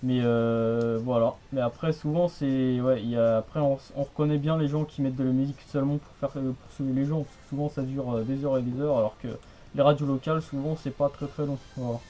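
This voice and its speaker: A man's voice: medium pitch, fairly even intonation, a little fast, warm tone. A man chatting casually on the radio in a rambling, hesitant, repetitive way. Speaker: amateur radio hobbyist.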